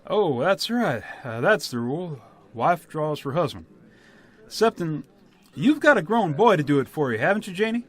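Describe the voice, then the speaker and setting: An actor playing a genial, businesslike small-town official with a folksy American accent in a radio play. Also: male voice, a little high, sing-song, fairly bright tone, husky, full character voice. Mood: genial, brisk. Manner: folksy, jovial, brisk. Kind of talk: radio drama acting.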